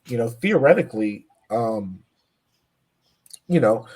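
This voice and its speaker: A man's voice: mid-range voice, animated, slow, a little mellow, breathy edge. A man in his twenties, a powerlifter guest on a podcast, talking earnestly with many fillers and restarts. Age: 20s. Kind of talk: casual podcast interview.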